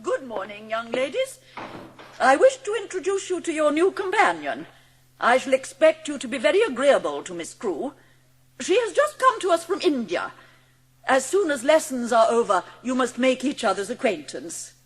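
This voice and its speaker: A woman's voice: high-pitched, big pitch swings, normal speed, slightly rough, full character voice. A woman playing a formal, bossy, snobbish headmistress of a girls' school in an audiobook drama.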